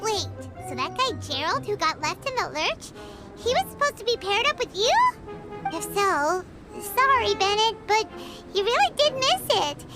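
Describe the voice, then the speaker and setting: A woman voicing a small, childlike fairy companion in an anime game, squeaky, excitable and blunt. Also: moderate pace, loud music behind the voice, full character voice. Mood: apologetic. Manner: exclamatory, indignant chattering.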